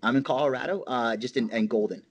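A man in his thirties, originally from Hawaii, talking casually as a podcast guest and explaining things in long, animated runs. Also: medium pitch, quick pace. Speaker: podcast guest, cannabis grower. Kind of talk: casual interview chat.

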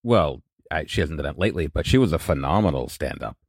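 A man's voice: very deep voice, lively intonation, fast, breathy edge, no echo, clean studio sound. A man co-hosting a casual podcast, talking in an effusive, emphatic way. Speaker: co-host.

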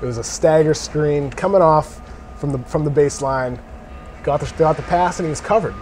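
A man's voice: a little high, moderate pace, darkish tone, soft background music. A man giving casual basketball commentary as an analyst. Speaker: sports analyst.

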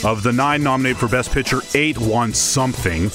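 A man's voice: medium pitch, moderate pace, over music. A man co-hosting a show as a film reviewer, talking in a conversational, opinionated way. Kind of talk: casual movie chat.

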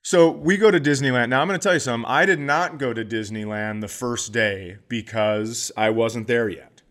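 A man's voice: medium pitch, moderate pace. Male comedian telling casual, profane anecdotes. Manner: profane, animated anecdotes with acted-out quotes. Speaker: podcast host, comedian. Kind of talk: casual comic storytelling.

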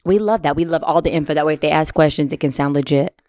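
A woman co-hosting a casual livestream, talking in an animated, slangy, giggly way. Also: low voice, fast, no echo, clean studio sound. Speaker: co-host.

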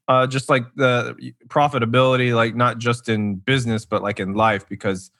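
A man co-hosting a podcast speaks in a bright, mid-pitched voice. He talks eagerly and loosely, stringing his thoughts together with fillers and repeated words. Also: steady tone, normal speed. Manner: eager, rambling. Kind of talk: casual podcast chat.

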